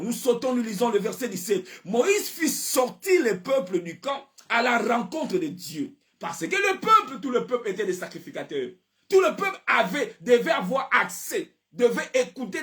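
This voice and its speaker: A man with a Congolese accent preaching an impassioned sermon, repeating phrases emphatically and often raising his voice to a shout. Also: very high voice. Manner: switches between French and Lingala. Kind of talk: fervent sermon with scripture reading.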